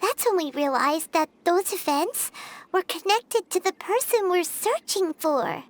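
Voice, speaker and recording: A woman voicing a tiny, excitable female fairy companion in a video game, asking questions eagerly. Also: very high voice, unhurried. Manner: excitable, inquisitive.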